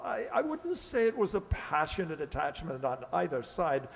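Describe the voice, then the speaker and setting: A man's voice: fairly high pitch, sing-song, moderate pace, gravelly. Man playing a fussy, flustered lord in an echoey hall. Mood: flustered, hesitant. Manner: hesitant, fussy, stammering. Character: flustered aristocratic father, an English lord. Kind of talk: radio play acting. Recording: echoey hall, long reverb.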